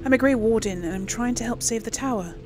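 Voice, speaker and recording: A woman's voice: on the high side, fast, noisy background. A woman hosting a casual role-play gaming video, commenting informally and thinking aloud as she plays. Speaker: gaming video host and player.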